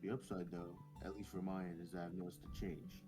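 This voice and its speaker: A man's voice: low voice, flat delivery, brisk. A man doing a casual, jokey read-aloud of visual novel dialogue, dropping informal asides. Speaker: co-reader in a visual novel let's play. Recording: music playing behind the voice. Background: guitar music.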